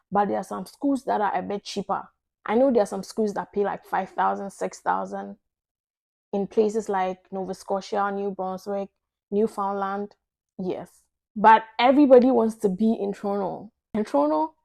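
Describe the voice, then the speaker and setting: A woman's voice: medium pitch, creaky, darkish tone, no room sound. A woman with a Ghanaian accent giving an explanatory talk as a video host, making her points emphatically and repeating them. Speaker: video host giving advice to international students.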